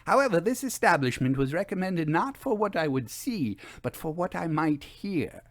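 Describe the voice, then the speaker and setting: Man voicing a bold, higher-pitched witty woman. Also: very animated, normal speed. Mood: arch, teasing. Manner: bantering, arch. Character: bold, witty woman ghost investigator, playfully challenging.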